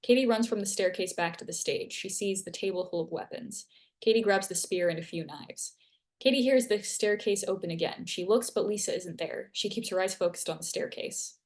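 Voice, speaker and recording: A woman's voice: mid-range voice, brisk. A woman narrating scripted action as the stage-direction reader at a live screenplay table read.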